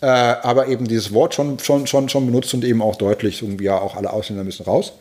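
A man in his forties from Germany, a pastor, thoughtfully telling personal stories, halting with many restarts and repeated words. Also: medium pitch, steady tone, a little mellow. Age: 40s. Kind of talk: reflective personal storytelling.

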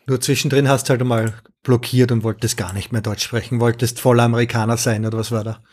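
Husky guy interviewing someone, friendly casual chat, laughs along. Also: medium pitch, level tone, fast talker, darkish tone.

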